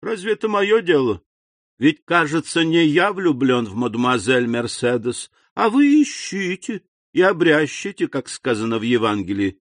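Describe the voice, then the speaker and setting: A man plays a calculating storybook villain. He talks deliberately, slipping between scorn and false friendliness, mocking and insinuating, in a dry, close sound. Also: a little high, very animated, no echo, pitched above the performer's own voice.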